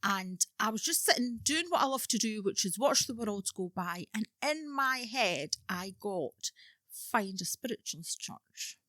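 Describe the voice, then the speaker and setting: A woman in her fifties tells her story as a podcast guest, relaxed and unhurried, often letting her sentences trail off softly. Her voice is bright and clear. The mic is close and dry, with no room sound. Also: medium pitch, no room sound. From Scotland. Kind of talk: casual interview chat.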